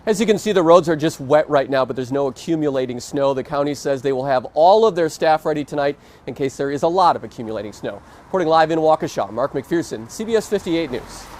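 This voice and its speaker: A man's voice: on the high side, lively intonation, fast talker, hardly any pauses, darkish tone. An American man delivering a scripted television field report. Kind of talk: scripted live news report. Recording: faint outdoor traffic noise.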